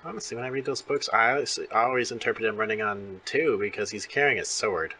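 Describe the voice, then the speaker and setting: A man's voice: lowish voice, fast talker, sharp tone, low-quality recording. A man interviewing in a casual, chatty and enthusiastic fan-to-fan way. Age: adult parent of young children.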